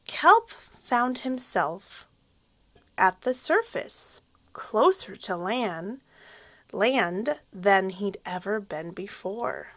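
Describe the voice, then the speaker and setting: Animated, unhurried woman reading a storybook. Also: medium pitch, dry recording. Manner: pauses to explain words simply to children. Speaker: teacher reading to young class. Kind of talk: expressive children's read-aloud storytelling.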